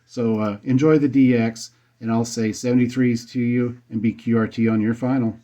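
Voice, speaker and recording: A man's voice: mid-range voice, steady tone, normal speed, dark tone. A man with an American accent chatting in an easygoing, colloquial way as an amateur radio operator, speaking into a desk microphone. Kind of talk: casual radio chat. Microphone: USB desk condenser microphone. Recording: slightly dull desk microphone recording.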